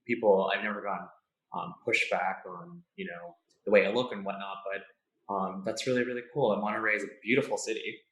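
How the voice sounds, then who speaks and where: A man's voice: on the low side, a little fast, a bit bright. Young man hosting in an echoey room. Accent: American. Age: young adult. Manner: friendly, with scripted intro. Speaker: podcast host. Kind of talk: podcast interview.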